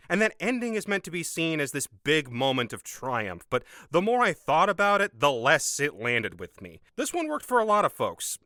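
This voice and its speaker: Hoarse male critic, scripted review read, animated pitch, bright tone. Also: no room sound, studio quality. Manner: measured, opinionated delivery. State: hoarse from a cold. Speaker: film critic and channel host. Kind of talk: scripted movie review roundup.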